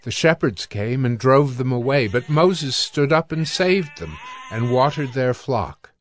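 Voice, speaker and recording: A man's voice: mid-range voice, very animated, measured pace. A man narrating scripture from a script in a dramatized reading.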